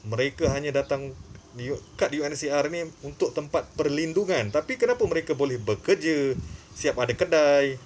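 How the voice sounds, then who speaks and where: A man's voice: fairly high pitch, expressive, normal speed, slight background noise. A man with a Malaysian accent giving informal, opinionated commentary in an emphatic, repetitive way, with frequent short filler tags. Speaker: online commentary channel host.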